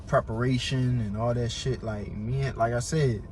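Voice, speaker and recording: A man's voice: mid-range voice, fairly even intonation, normal speed, darkish tone. American man with a stutter, noisy recording. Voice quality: stutter, word and syllable repetitions. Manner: emphatic, repeats himself for emphasis. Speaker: podcast guest. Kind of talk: casual chat, heavy slang and swearing.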